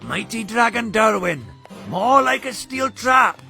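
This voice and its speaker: A man's voice: very high voice, animated, slow pace, vocal fry, pushed and bright, full character voice. A man voicing a crazy, scheming old cartoon wizard who speaks theatrically in gloating rhyme. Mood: gloating, ominous. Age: older. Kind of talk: animated cartoon voice acting. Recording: music behind the voice.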